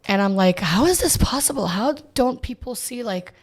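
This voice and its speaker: A woman's voice: a little high, animated, moderate pace, breathy edge. A woman born in Israel and raised in the US, a realtor guest speaking earnestly in a podcast chat, often stopping and restarting. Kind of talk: casual chat.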